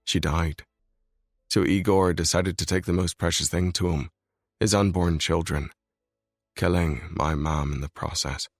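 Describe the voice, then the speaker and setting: A man voicing a brooding, controlled mafia boss in a scripted audiobook reading, low and grave. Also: steady tone, measured pace, dry recording, studio quality. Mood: grim. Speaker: male lead voice in audiobook.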